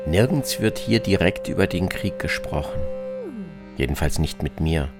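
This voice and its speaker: Low-voiced narrator over loud guitar music. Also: lively intonation, normal speed. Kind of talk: scripted first-person travel narration in a radio feature.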